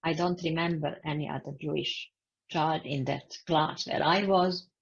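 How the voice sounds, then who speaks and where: A woman in her eighties from Serbia giving personal testimony over a video call, speaking slowly in halting phrases. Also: low-pitched, steady tone, creaky, husky. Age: 80s. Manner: slow, halting, non-native phrasing. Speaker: Holocaust survivor interviewee. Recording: dull lo-fi video call audio.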